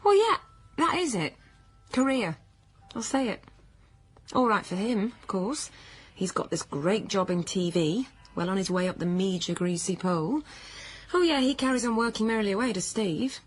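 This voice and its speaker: A woman's voice: medium pitch, lively intonation, normal speed, natural voice. An actress playing a troubled, stubborn pregnant career woman, earnest and defensive, in naturalistic radio drama. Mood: bitter, sardonic.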